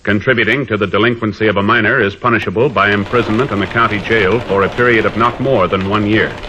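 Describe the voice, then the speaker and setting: A man as a formal, authoritative announcer reading scripted narration in a measured 1950s radio style. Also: on the low side, steady tone, sharp tone, slight background noise. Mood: formal, grave. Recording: dull vintage radio recording.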